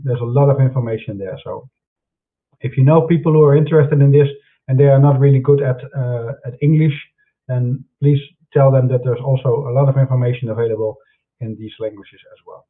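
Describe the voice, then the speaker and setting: Dutch man on a tinny line. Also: medium pitch. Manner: hesitant, many fillers and restarts. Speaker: online broadcaster presenting his websites and books. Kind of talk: casual talk during an online broadcast. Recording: phone-like narrow sound.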